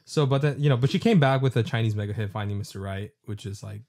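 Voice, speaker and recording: A man's voice: fairly low pitch, quick pace, a little mellow. A man hosting a casual film podcast, rambling with lots of fillers and casual swearing. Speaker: podcast host.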